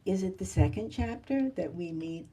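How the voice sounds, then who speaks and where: A woman interviewing at a book event in thoughtful literary conversation, with halting, reflective phrasing. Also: lowish voice, expressive, mellow, husky. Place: live bookstore event.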